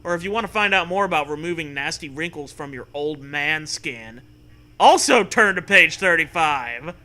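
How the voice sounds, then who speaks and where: Animated, sharp-edged high male reader. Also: normal speed. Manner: profane, animated. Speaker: reader of a comic choose-your-own-adventure book. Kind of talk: comic read-aloud with casual banter.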